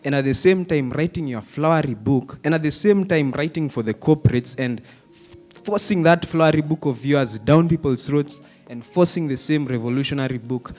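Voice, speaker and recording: A man's voice: a little high, moderate pace, darkish tone, soft background music. A young man from Kenya giving an impassioned, argumentative comment from the audience at a panel discussion, slipping in Swahili phrases. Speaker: audience member asking a question. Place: theatre hall at a panel discussion.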